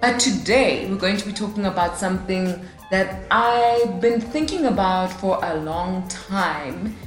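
A woman's voice: medium pitch, unhurried, roomy sound, soft background music. A woman in her late twenties hosting her own podcast alone at a mic, chatty and upbeat with self-deprecating humor. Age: 20s. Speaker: podcast host. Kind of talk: casual solo podcast talk. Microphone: studio mic, alone in a room.

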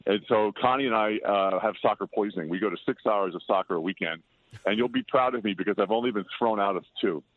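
An older man chatting as an interview guest, loud-voiced, rambling and self-deprecating. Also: lowish voice, quick pace, no echo. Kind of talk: casual interview chat. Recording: tinny dry phone line.

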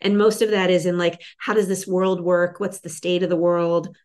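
A woman hosting and giving enthusiastic, rambling feedback on a pitch with many fillers. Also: flat delivery, normal speed, darkish tone. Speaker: host and pitch advisor. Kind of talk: casual feedback chat.

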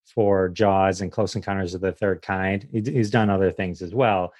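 Low-voiced American man, casual interview guest. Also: steady tone, normal speed, darkish tone, dry recording. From Michigan, United States. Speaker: comedian and podcaster, guest.

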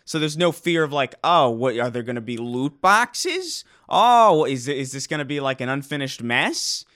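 A young man co-hosting a podcast in casual chat, talking excitably and restarting his sentences often. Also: medium pitch, normal speed. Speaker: podcast co-host.

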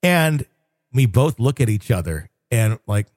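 A man's voice: medium pitch, big pitch swings, a little slow, a little mellow, no echo, studio quality. A middle-aged man hosting a podcast, telling stories in a loose, chatty way and laughing often. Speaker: podcast host. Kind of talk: casual chat.

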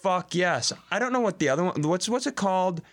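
A man's voice: high voice, fairly quick. A man co-hosting a comedy podcast in casual, profane, joking chat, speaking into a room microphone. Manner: profane, animated banter. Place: studio room.

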